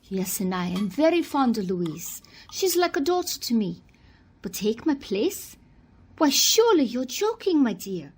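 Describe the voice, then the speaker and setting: A woman's voice: a little high, sing-song, measured pace, slightly rough, heavily acted. A woman playing a prim, imperious society social secretary, emphatic and proper, in a radio drama. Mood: affronted. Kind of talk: old-time radio drama acting.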